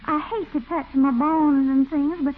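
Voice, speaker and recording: An actress playing a coy, chatty, enterprising Southern belle in a 1940s radio comedy. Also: female voice, high voice, fairly even intonation, unhurried. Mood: sweetly persuasive. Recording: tinny 1940s radio recording.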